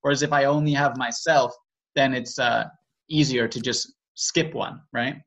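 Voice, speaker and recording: A man's voice: medium pitch, steady tone, normal speed, a bit bright. A man co-hosting a podcast in a casual, conversational style, on slightly lo-fi audio. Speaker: podcast co-host.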